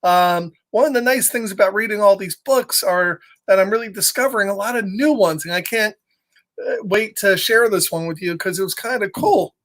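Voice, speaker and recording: A man's voice: high voice, normal speed, fairly bright tone. A man hosting children's storytime, chatting playfully and speaking directly to young listeners as he reads. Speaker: children's storytime host and reader. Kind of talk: casual livestream chat and children's story reading.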